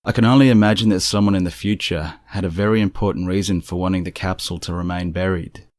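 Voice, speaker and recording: A low-pitched male narrator tells eerie first-person accounts from a script. His delivery is measured and serious, and the recording is close and dry. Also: steady tone, a little mellow, dry recording. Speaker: narrator of strange true stories.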